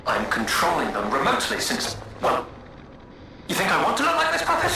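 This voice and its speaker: An actor playing a vain, charming male alchemist in a video game, florid, flattering and theatrical as he speaks through puppets. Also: fairly high pitch. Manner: florid, flattering, self-pitying and playful. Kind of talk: dramatic video game dialogue. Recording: very echoey, with loud background noise.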